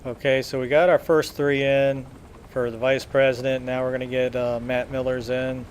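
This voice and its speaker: A man giving casual video game walkthrough commentary in a rambling, halting, matter-of-fact way. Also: medium pitch, level tone. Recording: faint game engine noise behind.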